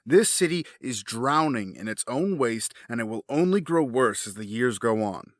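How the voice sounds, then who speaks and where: A man's voice: mid-range voice, animated, normal speed, no echo, studio quality, lightly acted. A man playing a grieving, bitter, brooding figure in an audio drama, grave and intense in delivery. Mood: grim, intense.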